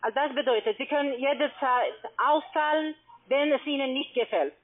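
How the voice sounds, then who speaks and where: A woman with a foreign, non-native German accent giving a halting telephone sales pitch, repeating and rephrasing as she explains. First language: not German. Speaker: call-centre sales agent pitching trading. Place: phone call. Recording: tinny, narrow-band phone line.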